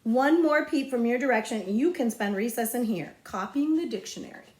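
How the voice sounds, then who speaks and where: A woman performs a strict female schoolteacher from a storybook. She is sharp, commanding and quick to threaten, and the room around her echoes. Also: a little high, lively intonation, pitched above the performer's own voice, lightly acted. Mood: stern, threatening. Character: stern, threatening female elementary school teacher.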